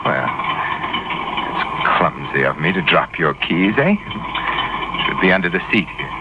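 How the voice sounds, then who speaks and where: An actor playing a nervous, smoothly sardonic killer who poses as a police inspector in an old-time radio drama, speaking formally and theatrically. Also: male voice, low-pitched, animated. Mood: wry, scheming. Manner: formal, theatrical, halting self-talk. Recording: muffled, very noisy old radio recording.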